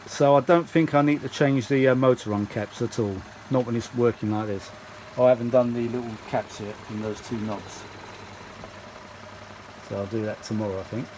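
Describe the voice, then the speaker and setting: British man chatting over clear background noise. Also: medium pitch, steady tone, moderate pace, a little mellow. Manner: rambling, muttering asides to himself. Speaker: vintage audio hobbyist and video host. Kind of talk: casual hands-on demonstration chat.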